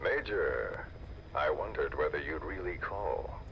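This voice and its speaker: A man's voice: rumbling low, measured pace, muffled recording, a little hiss or hum. A man playing a composed, knowing intelligence operative in a video game, speaking in terse, probing phrases. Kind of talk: dramatic video game voice acting.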